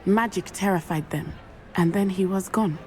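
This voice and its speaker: A woman playing an earnest, determined teenage schoolgirl witch in a video game, with a southern African accent and a measured, formal way of talking. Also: lowish voice, darkish tone, a little hiss or hum, lightly acted. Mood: sorrowful. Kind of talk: video game character acting.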